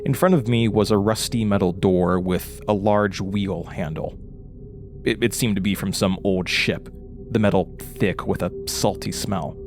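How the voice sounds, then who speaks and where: An actor as a haunted, weary man in a horror audio drama, his voice sitting fairly low. He speaks in a halting, confessional way with a wry edge, with faint music underneath. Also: natural voice. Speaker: lead character and narrator of a horror audio drama. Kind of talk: horror audio drama acting, narration and intimate dialogue.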